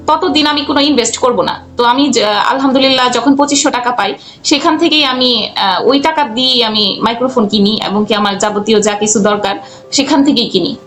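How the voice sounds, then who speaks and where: A woman answering interview questions conversationally, halting and restarting her phrases as she explains. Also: high voice, fairly bright tone. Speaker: guest, freelance cartoon voice artist and animator.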